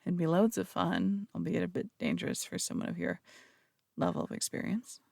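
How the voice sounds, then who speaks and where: A woman's voice: on the low side, big pitch swings, a little fast, creaky, a little mellow, dry recording, clean studio sound, full character voice. A woman playing a flirtatious, theatrical female phantom thief in an intimate audio roleplay, coaxing and teasing, with slight stammers. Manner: coaxing, teasing, hesitant stammers between polished phrases. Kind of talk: intimate audio roleplay, acted monologue to listener.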